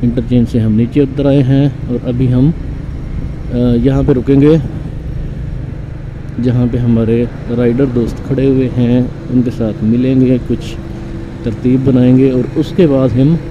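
A man from Pakistan, calmly narrating a motorcycle ride through his city with many pauses and fillers. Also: mid-range voice. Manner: calm, unhurried, pausing with frequent fillers. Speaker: motorcycle vlogger. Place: on a motorcycle on a city road, outdoors. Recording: dull lo-fi sound with road and traffic noise.

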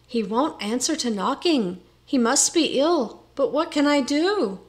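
A woman's voice: high voice, animated, measured pace, pitched above the performer's own voice. A woman voicing a young wife in a storybook tale, fretful and pleading as she feigns anxious concern. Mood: feigned worry.

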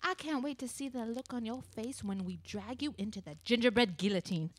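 A woman's voice: a little high, brisk, close to an everyday voice. A young woman playing a defiant, commanding elf revolutionary in comic radio theater, with theatrical delivery. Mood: accusing. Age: young adult. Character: defiant elf revolutionary posing as Santa's mistress. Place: small studio stage, indoors. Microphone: close boom mic.

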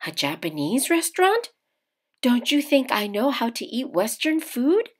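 A proud and curious young woman from a storybook, voiced by a woman reader. She speaks high and emphatically, easily flustered, with questions that swing upward in a lively way. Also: measured pace, breathy edge, full character voice. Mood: spirited.

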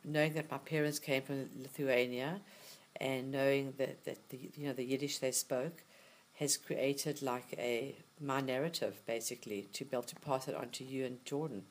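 A man's voice: mid-range voice, fairly even intonation, moderate pace, low-quality recording. A man from South Africa, a parent, answering an interview in a rambling, casual way with fillers.

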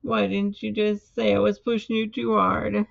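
A man acts out a young storybook sponge who coaches with pushy, earnest excitement. The voice sits high and has some creak, in a roomy, slightly dull recording. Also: moderate pace. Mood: remorseful. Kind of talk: storybook character voice.

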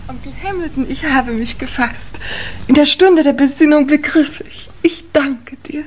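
An actress playing a theatrically noble young upper-class lady in a 1950s radio mystery, solemn, precise and high-flown. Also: normal speed, slight background noise, natural voice.

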